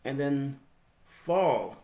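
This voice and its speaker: Man, forties, American accent, speech impediment, slow deliberate delivery, reflective personal narration, roomy echo. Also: mid-range voice, lively intonation, mellow. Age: 40s. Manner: halting, deliberate phrasing with pauses. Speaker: higher education professional telling own story. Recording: roomy, echoey sound.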